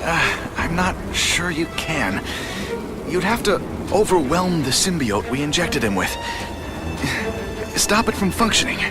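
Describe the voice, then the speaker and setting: An actor voicing a hesitant middle-aged male scientist in a video game cutscene, coughing and explaining haltingly with many fillers. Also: a little high, sing-song, over loud music. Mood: nervous.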